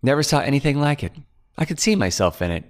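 A man's voice: medium pitch, big pitch swings, quick pace, pitched above the performer's own voice, lightly acted. A man voicing a chatty, gossipy young female secretary.

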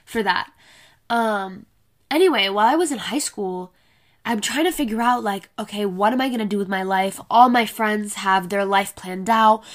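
A woman's voice: mid-range voice, fairly bright tone. An eighteen-year-old woman hosting her own podcast, talking in a casual, blunt way with a congested, nasal voice and a lot of swearing. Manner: chatty, blunt, swears freely. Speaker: podcast host. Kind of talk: casual solo podcast chat. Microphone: laptop camera with a separate mic.

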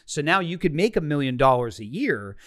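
Male financial advisor, methodical explainer counting off points, slightly higher voice, dry sound. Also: big pitch swings, fairly quick, a bit bright, no echo. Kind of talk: explaining finances in a casual chat.

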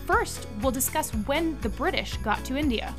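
A woman with a fairly high voice co-hosts a scripted history show. She explains each point briskly, with light music running under her. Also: lively intonation. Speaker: podcast co-host.

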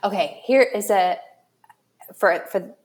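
A woman interviews as a podcast host in a conversational register. Her voice sits at a middle pitch. She talks in a halting, thoughtful way, with effusive phrasing.

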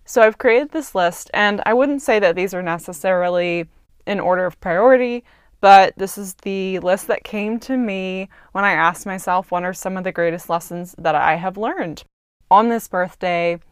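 A woman's voice: mid-range voice, moderate pace, clear, resonant tone, dry recording, studio quality. A woman in her twenties hosting her own podcast, talking in an earnest, chatty way and thinking aloud with little fillers. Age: 20s. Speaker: podcast host. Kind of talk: casual reflective monologue.

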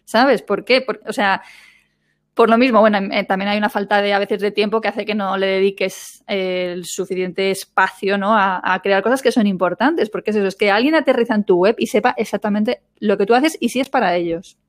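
A woman's voice: mid-range voice, a little fast. A woman hosting a casual conversational interview, chatty and full of little "¿no?" and "¿sabes?" tags. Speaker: podcast host.

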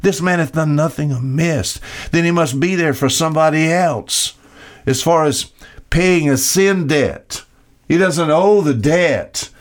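A man preaching an expository sermon, emphatic, with dramatic pauses and rhetorical questions. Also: a little high. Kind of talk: expository sermon, reading and explaining Bible verses.

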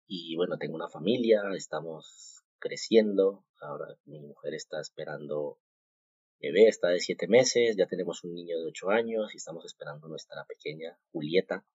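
Low-voiced guy from colombia doing a faith podcast, kinda husky, lots of ums and restarts, dull muffled sound. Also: darkish tone, no room sound. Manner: halting, many fillers and repeated words. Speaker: podcast host. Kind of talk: casual devotional talk. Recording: muffled, dull home recording.

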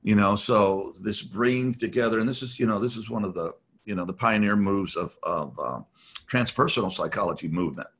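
A man's voice: on the low side, fairly quick, fairly bright tone. A man talking in a reflective, rambling way, with many fillers and restarts, as a podcast guest. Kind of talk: reflective conversational talk.

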